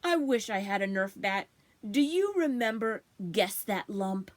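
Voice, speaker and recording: A woman's voice: medium pitch, expressive, a little slow, lightly acted. A woman putting on a brash, theatrical male rabbit. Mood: playful. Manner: loud theatrical tones, indignant. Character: brash, excitable male talking rabbit husband.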